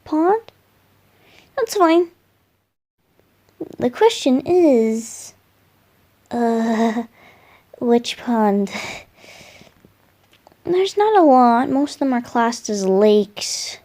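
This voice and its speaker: Slow, soft boyish wind god voiced by a woman. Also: fairly high pitch, sing-song, exaggerated voice. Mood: cheerful, relaxed. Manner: soft, musing, hesitant, affectionate. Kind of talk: roleplay ASMR, intimate one-sided dialogue to a listener.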